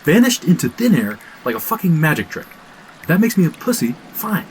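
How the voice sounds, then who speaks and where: A man's voice: on the high side, sing-song, brisk, a little mellow, a little hiss or hum, rough recording. An actor playing an anxious, sarcastic young man, agitated and slangy, in a horror audio drama. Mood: sarcastic, heated.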